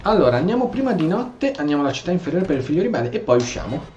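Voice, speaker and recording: A man's voice: fairly high pitch, very animated, very fast, a little mellow, muffled recording, slight background noise. A man with an Italian accent giving casual, role-play gameplay commentary, stammering and repeating his words. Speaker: gamer, let's play host. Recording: echoey room, game music behind. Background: video game music.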